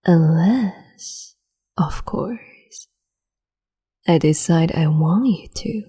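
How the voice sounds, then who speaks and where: A woman playing a breathy whispering cruel empress. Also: fairly low pitch, warm tone, heavily acted. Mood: cruel doting. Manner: slow, teasing, condescending, doting. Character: cruel capricious female empress, young adult. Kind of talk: ASMR roleplay audio.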